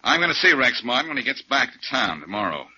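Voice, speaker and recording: Cocky needling 40s radio sleuth, lowish voice with big swings, sharp delivery. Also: male voice, big pitch swings, quick pace, breathy edge, exaggerated voice. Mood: insistent, needling. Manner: insistent, wisecracking. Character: confident, needling amateur sleuth, quick-witted man. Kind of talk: 1940s radio crime drama acting. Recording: muffled vintage 1940s radio recording.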